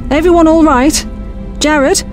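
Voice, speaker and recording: A woman playing a brisk, practical young team leader in an audio drama, speaking with blunt authority. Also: sits high, steady tone, music in the background. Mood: urgent command.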